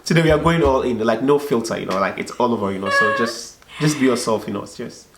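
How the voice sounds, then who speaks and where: An echoey recording of a man hosting a casual vlog. His medium-pitched voice sounds a little dried up and tired. He talks informally to viewers and guest, often repeating himself. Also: fast, very noisy. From foreigner living in Belgium. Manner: repetitive, filler-heavy, informal. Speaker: vlog host.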